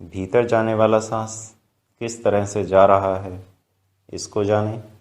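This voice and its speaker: Man, meditation guide, lowish pitch, very slow delivery, calm, measured, instructional.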